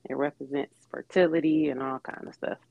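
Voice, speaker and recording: A young woman from with a gravelly, creaky edge to her voice. She talks as a podcast guest, easygoing and reflective, and laughs often. The sound is dull and dry, with the lo-fi feel of a plain podcast recording. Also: a bass voice, fairly even intonation, fairly quick, mellow, dry recording. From Detroit, Michigan. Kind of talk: casual interview chat.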